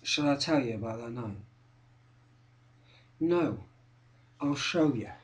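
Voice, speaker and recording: A man's voice: medium pitch, animated, slow pace. An actor performing a theatrical monologue as an obsessive, confrontational young male skinhead who relives his violent past. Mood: haunted, confiding. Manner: obsessive, confrontational, repetitive, swinging from hushed to shouting. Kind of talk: theatrical dramatic monologue, direct address. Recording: roomy echo, distant microphone.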